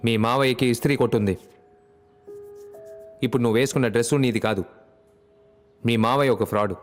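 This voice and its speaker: A reverberant film scene with music behind the voice. An actor plays a gruff, sharp-eyed detective who speaks quickly, giving curt orders and blunt statements like a man used to command. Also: mid-range voice, natural voice. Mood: blunt, probing. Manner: curt, commanding, matter-of-fact. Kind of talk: film drama dialogue. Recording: long echoing reverb, film music underneath.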